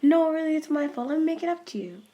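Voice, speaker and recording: A woman's voice: sits high, expressive, fast, dark tone. A woman playing an apologetic, forward young library visitor in an amateur radio drama. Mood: friendly.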